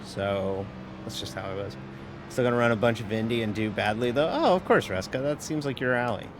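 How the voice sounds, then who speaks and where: Male streamer, casual chat mid-race, loose filler-packed rambling with offhand swearing, mid-pitched. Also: fairly quick, noisy background. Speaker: sim racing streamer. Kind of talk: casual live-stream chat while racing.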